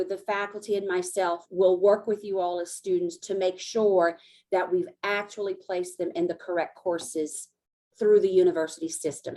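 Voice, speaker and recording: A woman's voice: fairly low pitch, warm tone. A woman from Louisiana hosting an information session as a study abroad coordinator, speaking casually with many fillers and restarts. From Acadiana, Louisiana. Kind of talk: hosting a recorded info session.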